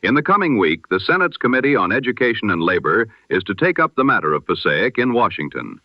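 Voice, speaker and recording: A man reads a scripted news bulletin in 1920s radio style, formal and solemn, with measured oratorical delivery through an old-time radio filter. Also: on the low side, big pitch swings, no echo. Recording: dull, band-limited vintage radio sound.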